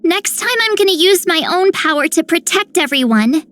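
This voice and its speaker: A woman's voice: very high-pitched, expressive, normal speed, a bit bright. A woman voicing an imaginative, upbeat young girl heroine in scripted video game lines. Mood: dreamy, hopeful.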